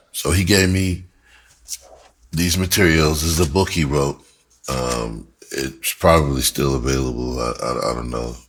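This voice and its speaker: A man's voice: extremely low pitch, fairly bright tone, husky. A man telling stories casually as a vlogger, with informal, streetwise, profane phrasing. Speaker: vlogger and rap musician. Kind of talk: casual storytelling to camera.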